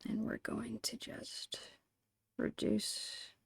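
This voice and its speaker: A woman softly narrating her digital art in an ASMR style, murmuring in short, breathy phrases close to the microphone. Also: fairly high pitch, monotone, extremely slow, no room sound. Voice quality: breathy, whispery. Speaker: digital artist hosting an art channel.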